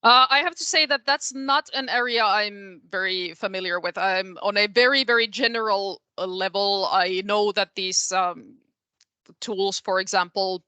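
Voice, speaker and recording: Woman, native Finnish speaker with Finnish accent; bright, dry-sounding; academic guest answering with careful hesitation. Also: mid-range voice, no room sound. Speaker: guest speaker, translation researcher. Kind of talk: academic question-and-answer discussion.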